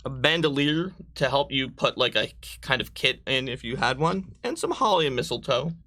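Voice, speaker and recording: A man's voice: mid-range voice, expressive, normal speed, bright, forceful tone, no room sound. A man talking casually and instructively as he hosts a live tabletop game stream. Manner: explanatory, instructive. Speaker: game show host guiding character creation.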